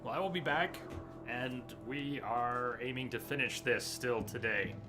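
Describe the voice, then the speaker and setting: A man's voice: medium pitch, a little slow. A man livestreaming a game, chatting casually to his viewers. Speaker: game streamer. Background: game music.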